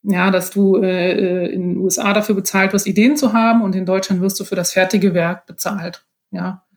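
A woman's voice: mid-range voice, steady tone, a little fast. Frank woman explaining in conversation. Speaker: guest, screenwriting and audio drama author. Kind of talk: conversational interview answers.

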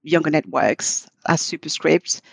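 A woman's voice: deep voice, animated, gravelly, slightly rough, no echo. A middle-aged woman, not a native English speaker, talking thoughtfully as a podcast interview guest and often restarting her sentences. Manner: thoughtful, halting with frequent restarts. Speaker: guest, marketing executive.

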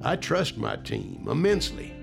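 An actor playing a steady, loyal general in charge of a secret project, gravelly, in an audio drama. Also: male voice, medium pitch, normal speed, music in the background. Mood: assured.

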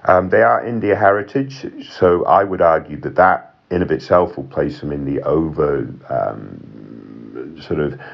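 A man co-hosting an advisory podcast, speaking in a measured way with frequent ums and restarts. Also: rumbling low, creaky, slightly rough, dull audio. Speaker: admissions consultant co-host.

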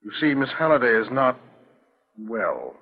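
An actor playing a polite, hesitant, grave client in a 1940s radio mystery, speaking formally and haltingly. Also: male voice, mid-range voice, very animated, husky, heavily acted. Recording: dull 1940s radio recording.

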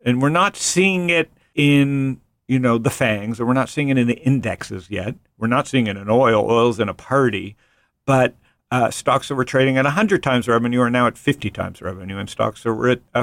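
A man hosting a relaxed finance podcast, joking and wandering off into quick asides. His voice sits in a middle range and sounds close and dry, with no room around it. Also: mid-range voice, vocal fry, dry recording. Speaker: podcast host and venture investor. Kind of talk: casual finance podcast chat.

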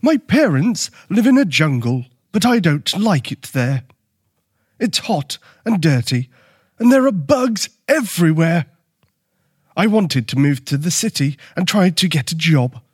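A man voicing a gentle, apologetic, gloomy elephant in a children's story, speaking hesitantly and politely. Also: very animated, slow pace, no room sound, clean studio sound, full character voice. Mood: gloomy, embarrassed. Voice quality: deep, husky.

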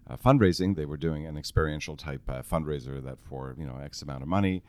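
A man's voice: very deep voice, fast talker, darkish tone, dry recording. A middle-aged man talking as a podcast guest in casual chat, projecting strongly and laughing often.

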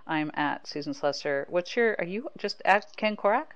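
Low, clear-voiced American woman hosting sports talk. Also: animated, moderate pace, no echo. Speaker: podcast host and sports reporter. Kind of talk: casual conversational sports talk.

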